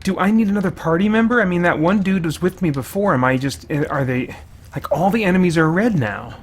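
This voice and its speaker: A man's voice: high-pitched, expressive, brisk, slight background noise. A man giving casual video game commentary as a reviewer, thinking aloud in informal language with mild exclamations.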